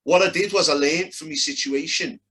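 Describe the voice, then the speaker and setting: A man's voice: on the high side, fairly quick, fairly bright tone. A man with a Liverpool accent arguing his side in a heated casual debate, blunt and emphatic. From Liverpool, England.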